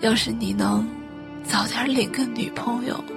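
Ailing mother, gentle, self-sacrificing, unhurried, tired breathy voice, radio drama, soft music underneath. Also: on the high side, steady tone. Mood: wistful, weak. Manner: gentle, weary, breathy delivery. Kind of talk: Chinese radio drama acting.

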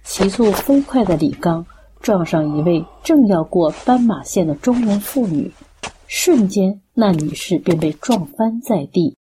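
A woman's voice: medium pitch, lively intonation, a little slow, dark tone, a little hiss or hum. A woman reading scripted narration for a Chinese radio drama.

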